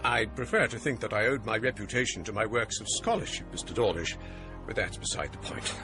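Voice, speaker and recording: An actor playing a genial, scholarly college provost who tells ghost stories, speaking in a courteous, measured way. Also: male voice, on the low side, expressive, music in the background, lightly acted. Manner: courteous, measured, theatrical storyteller. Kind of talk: radio drama acting.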